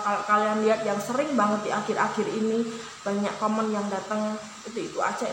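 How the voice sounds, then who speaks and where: A woman vlogger talking casually to her viewers, rambling with many fillers and restarts. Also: medium pitch. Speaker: YouTuber. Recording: echoey room with long reverb, noisy.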